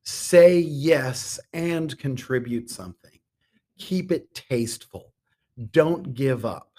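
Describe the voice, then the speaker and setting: A middle-aged man talks as a guest in a conversational interview. His delivery is earnest and measured, with a medium pitch and deliberate pauses between phrases. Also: animated, warm tone. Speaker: podcast guest, theatre artistic director.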